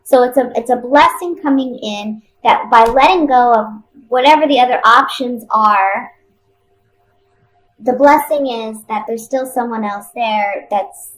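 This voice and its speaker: A woman with a somewhat high voice reads tarot for her viewers. She talks casually and off the cuff, pausing and repeating herself as she thinks aloud. A light noise sits behind her voice. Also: rough recording. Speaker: tarot card reader.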